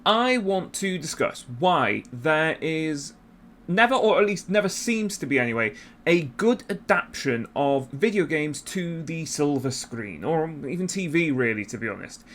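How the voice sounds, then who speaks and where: A man's voice: a little high, very animated, measured pace, a bit bright, slight background noise. A man hosting a YouTube channel, giving casual commentary in a conversational way with self-deprecating asides. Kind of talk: casual video essay commentary.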